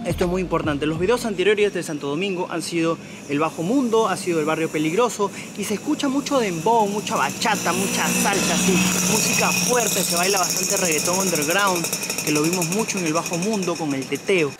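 A young man with a Peruvian Spanish accent talks straight to a handheld camera as he walks city streets. He sounds upbeat and chatty, in a casual travel-vlog way, with loud background music mixed underneath his voice. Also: high-pitched, fairly quick. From Peru. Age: young adult. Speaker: travel vlogger. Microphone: handheld camera at arm's length.

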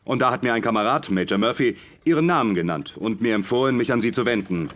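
An actor playing a middle-aged army major in an audio drama, earnest, formal and forceful. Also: male voice, mid-range voice, expressive, fast talker, close to an everyday voice.

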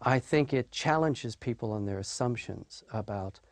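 A man's voice: medium pitch, normal speed, no room sound. A man from a production team giving explanatory interview talk.

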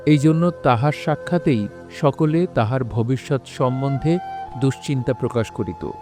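A man narrating a literary story in a formal, measured, solemn style. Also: medium pitch, over music, lightly acted. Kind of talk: scripted literary narration in old formal Bengali.

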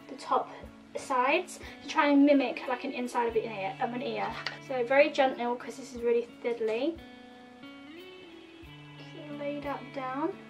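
Woman, 30s, high bright voice, nasal sore throat, chatty explainer, distant mic with faint music. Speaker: makeup vlogger. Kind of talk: casual tutorial talk to camera. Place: indoor room in front of a red plaid backdrop.

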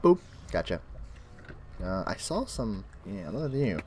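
A young man hosts his own game commentary, talking casually through every step of his play. His voice is a little low and crackly from a cold, with extra bass in it. His pitch swings a lot as he chats. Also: a little slow. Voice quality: extra bass from an allergic reaction. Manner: chatty, animated narration of his own play. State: sick, crackly voice. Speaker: gaming channel host. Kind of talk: Minecraft let's play commentary.

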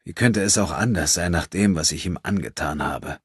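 A man voicing a guilt-ridden, bitter father in an audiobook, speaking haltingly with a low, trembling voice full of grief. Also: breathy edge, no echo, clean studio sound. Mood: bitter, self-hating.

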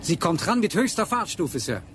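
An actor playing a dutiful, politely unbending ship's third officer in a German radio drama. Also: male voice, high voice, very animated, normal speed, a bit bright, a little hiss or hum. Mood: brisk.

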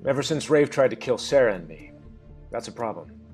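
An actor playing a stern, businesslike spy agent in charge at a table read, speaking firmly and bluntly. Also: male voice, medium pitch, animated, fairly quick, darkish tone, husky, faint music behind. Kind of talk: scripted table read, dramatic TV acting.

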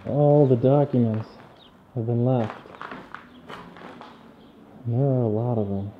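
A man's voice: medium pitch, slow pace, phone-quality sound, noisy background. A man hosting an exploration vlog, walking through a decaying abandoned building and remarking in awe at what he finds. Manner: awed, commenting on what he sees. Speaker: urban explorer host.

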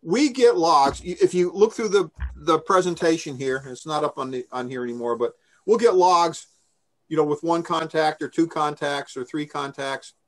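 An older man with a fairly high-pitched voice presents informally. He explains in a conversational way, taking questions over a video call. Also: moderate pace. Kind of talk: informal briefing and question answering. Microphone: video call microphone.

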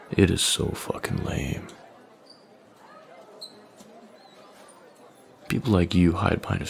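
Man playing a very gravelly, deep-voiced young bully. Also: full character voice. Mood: contemptuous. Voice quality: deep, gravelly, breathy at line ends. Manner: taunting, deliberate, drawling threats. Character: menacing young male bully, smug, possessive and mocking. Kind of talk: boyfriend ASMR audio roleplay, intimate slow menace.

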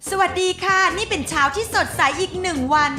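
A woman narrating a cartoon in a bright, friendly storybook manner. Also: very high voice, fairly quick, echoey room, over music. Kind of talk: scripted narration.

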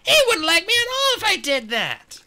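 A man's voice: very high-pitched, huge pitch swings, moderate pace, bright, forceful tone, voice pushed up, heavily acted. A man reading aloud and voicing a stubborn, anxious young female dragon who pleads and protests.

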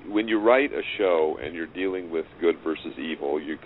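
A middle-aged man speaking as an interview guest, reflecting casually in a halting, thoughtful way. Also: low voice. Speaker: interview guest, radio drama writer. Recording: noisy, muffled phone-like line.

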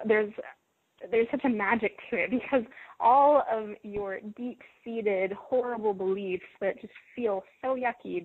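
A woman hosting a self-help conference call, talking in a gentle, encouraging, measured way. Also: mid-range voice, husky, no room sound. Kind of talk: coaching talk. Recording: phone line, dry and lo-fi.

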